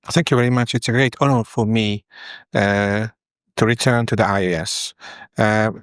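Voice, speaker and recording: A man from Hungary with a Hungarian accent, a professor giving formal, somewhat halting scholarly explanations as a guest. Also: lowish voice, fairly even intonation, smooth, no room sound, studio quality. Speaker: history professor, interview guest.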